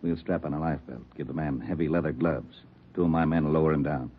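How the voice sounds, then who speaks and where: An actor playing a gruff, practical fire chief in a 1940s radio drama, plainspoken and assured. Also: male voice, rumbling low, fast, a little hiss or hum. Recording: tinny old radio recording.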